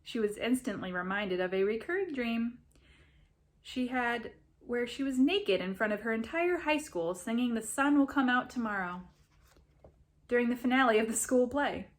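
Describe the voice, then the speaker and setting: Higher-pitched woman reading a story aloud. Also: animated, moderate pace. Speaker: reader of a story. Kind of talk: expressive storybook read-aloud.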